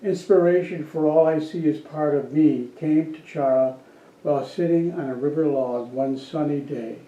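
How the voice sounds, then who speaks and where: A man reading aloud formally at a church service with measured, deliberate phrasing. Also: fairly high pitch, dark tone. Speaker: worship service reader. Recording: dull, roomy, distant, noisy church recording.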